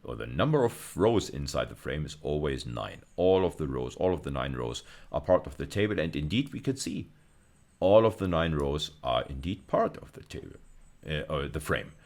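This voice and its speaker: Very deep-voiced male lecturer. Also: very animated, vocal fry, darkish tone. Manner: deliberate, emphatic, repeats and rephrases key phrases. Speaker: university lecturer. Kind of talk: explanatory screencast lecture.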